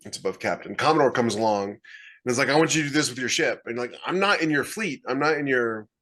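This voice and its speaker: A man with a bright, forceful voice at middle pitch, talking casually as a podcast co-host. He acts out short imagined exchanges to make his points. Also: animated, fast talker. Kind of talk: casual panel discussion. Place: indoors, on a video call.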